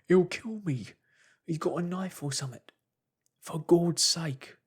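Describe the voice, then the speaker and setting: A man's voice: lively intonation, moderate pace, dark tone, slightly rough. A man voicing a frantic, terrified male tramp who pleads and shrieks while on the run. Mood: panicked. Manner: shrill and pleading.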